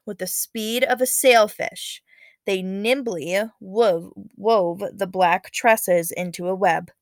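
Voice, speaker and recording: A woman's voice: mid-range voice, slow pace, no room sound. Woman reading a storybook aloud, dry recording. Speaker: teacher reading aloud to students. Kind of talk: storybook read-aloud with casual commentary.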